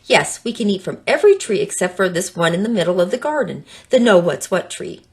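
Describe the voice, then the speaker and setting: A woman's voice: mid-range voice, sing-song, a little fast. A woman voicing a curious, stubborn and haughty woman in a children's fable, explaining and dismissing. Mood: patient.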